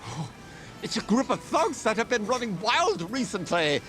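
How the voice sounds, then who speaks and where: An actor playing an excitable, polite foreign male restaurant boss in a video game, earnest and fretful. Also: high voice, moderate pace, faint music behind. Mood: apologetic, worried. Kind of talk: video game cutscene acting.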